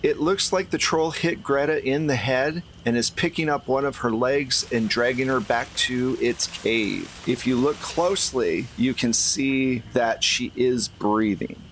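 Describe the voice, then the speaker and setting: A man's voice: medium pitch, unhurried, hardly any pauses, slight background noise. A man running a tabletop roleplaying game, narrating and explaining in a conversational, improvised way. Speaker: game master.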